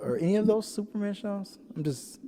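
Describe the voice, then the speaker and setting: A man hosting a casual pop-culture show, telling stories in a rambling, animated way. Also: high voice, brisk. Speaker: podcast host. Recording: reverberant, echoing room sound.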